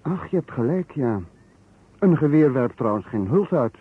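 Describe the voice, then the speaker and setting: Methodical investigator, medium-pitched, deliberate, narrow-band vintage radio drama sound. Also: male voice, expressive, slightly rough, no echo. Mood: explanatory, earnest. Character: earnest, methodical male Italian police investigator. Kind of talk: old Dutch radio detective drama acting.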